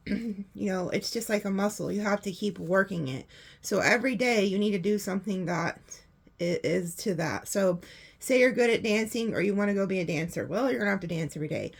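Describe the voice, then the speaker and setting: A woman with a hoarse voice, chatting casually through a tarot reading and laughing often. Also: medium pitch. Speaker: tarot reader.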